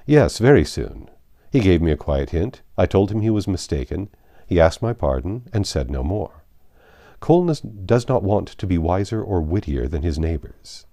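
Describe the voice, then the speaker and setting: A low-voiced, frank country gentleman from a storybook, as acted out by a male narrator, earnest and brusque. He speaks in formal, measured phrases with lively emphasis, in a soft-toned, dry recording. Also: dry recording.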